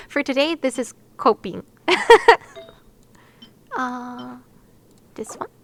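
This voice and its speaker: A woman's voice: high-pitched, sing-song, very slow, vocal fry, a bit bright. A woman chatting casually to viewers on a game livestream, with a playful, giggly, chatty manner. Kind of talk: casual livestream chat while gaming, talking to viewers.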